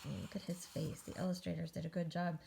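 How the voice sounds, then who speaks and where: A woman teacher commenting on a picture book for her class, asking the children questions in conversational, often hushed asides. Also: lowish voice, fairly even intonation, fast, vocal fry, slight background noise.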